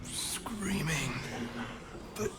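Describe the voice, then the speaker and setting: An actor voicing a weak, haunted talking corpse in a video game, speaking in halting, breathy fragments. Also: medium pitch, animated. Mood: haunted, fearful. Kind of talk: video game character acting. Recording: game audio with loud ambient noise.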